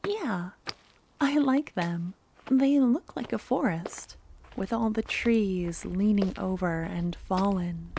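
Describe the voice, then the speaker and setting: Woman acting a very slow, soft, obsessive yandere. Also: mid-range voice, slight background noise, rough recording. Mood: gentle, eager. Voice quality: soft, breathy, slipping into whispers. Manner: slow, tender, intimate coaxing. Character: loving, obsessive yandere cultist woman kidnapper, sweetly menacing. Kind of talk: soft-spoken ASMR roleplay with whispering. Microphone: close ASMR microphone.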